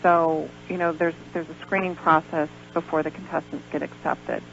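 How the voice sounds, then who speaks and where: A dull, lo-fi radio recording with some hum and noise carries an American woman's voice, gravelly with fry. She is a guest explaining things step by step, pausing and hesitating as she goes. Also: low voice, level tone, a bit bright. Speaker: guest, pageant organizer. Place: radio show.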